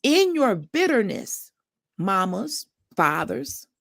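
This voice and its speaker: A woman hosts a talk show discussion with a gravelly edge to her voice. She speaks earnestly and colloquially, leaning hard on her points. The sound is dry, with little room around it. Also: mid-range voice, sing-song, slow, a bit bright, no room sound. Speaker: host.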